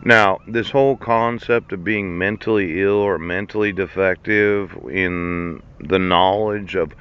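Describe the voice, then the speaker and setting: A man's voice: deep voice, steady tone, a little slow, clean tone. A man in his fifties ranting in a sarcastic monologue, laughing between lines and swearing. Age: 50s. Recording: dull lo-fi recording.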